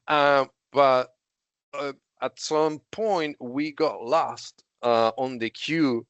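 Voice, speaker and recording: Argentine man with a smooth, dry voice. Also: medium pitch, very slow, fairly bright tone, dry recording. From Argentina, Patagonia. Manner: laughs often while telling stories, many fillers. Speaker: podcast guest, IT manager. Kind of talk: casual interview chat.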